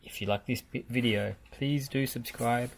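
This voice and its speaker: A storyteller reading a children's picture book aloud in a measured, deliberate way, with background noise. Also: medium pitch, steady tone, creaky.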